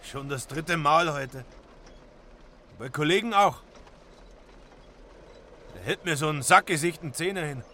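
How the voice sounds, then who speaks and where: Gruff, furious taxi driver, sharp-voiced. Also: male voice, on the high side, unhurried, pauses often. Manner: irritable, barking, coarse. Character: gruff, angry male taxi driver, crude and mistrustful. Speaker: actor. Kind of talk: radio play acting. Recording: traffic and engine noise. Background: car and street noise.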